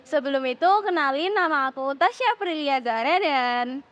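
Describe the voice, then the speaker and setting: A woman's voice: sits high, expressive, moderate pace, fairly bright tone. A teenage young woman, hosting a casual interview in chatty, slangy speech and addressing viewers. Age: teens. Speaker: student podcast host. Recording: dull lo-fi audio.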